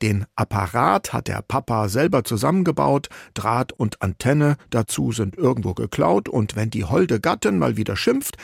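A man narrating a radio documentary in a calm, explanatory way. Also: on the low side, vocal fry, slightly rough, no echo, clean studio sound.

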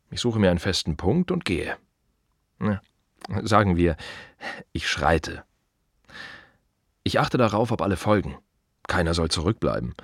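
Deep-voiced, deliberate gravedigger. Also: male voice, no echo, studio quality, exaggerated voice. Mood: reflective, intense. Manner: measured, deliberate, short clipped phrases with pauses. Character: male gravedigger and cemetery gardener, earnest, proud, reflective. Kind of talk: radio play monologue.

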